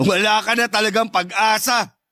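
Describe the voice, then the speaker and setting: A man acts out a jealous macho ex-husband in an audio drama, domineering and mocking. His voice is high, bright and cutting, with a sneering delivery and a dry, close sound. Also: dry recording, exaggerated voice. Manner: sneering, taunting. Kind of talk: Tagalog audio drama dialogue.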